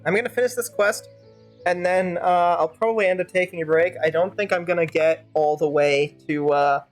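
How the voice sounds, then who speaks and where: A woman streaming a game, giving casual, wry and sardonic live commentary. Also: low voice.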